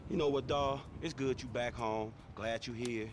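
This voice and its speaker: An actor playing an irritable, sarcastic young American gangster in a video game cutscene. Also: male voice, medium pitch, fairly even intonation, moderate pace, a little hiss or hum, lightly acted. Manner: brash, mocking, profane.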